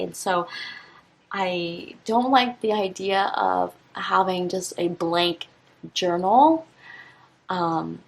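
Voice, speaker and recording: Slow, husky young woman vlogging. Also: mid-range voice, poor audio. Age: 20s. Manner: relaxed, rambling, with many fillers and restarts. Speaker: teacher and stationery vlogger. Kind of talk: casual unboxing vlog.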